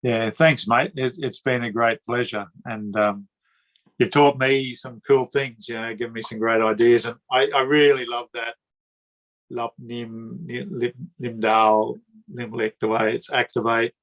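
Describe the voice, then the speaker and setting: A man with a drawling Queensland Australian accent, hosting a relaxed interview in a friendly, chatty way and often repeating words. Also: medium pitch, unhurried, rough recording. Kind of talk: casual conversational interview.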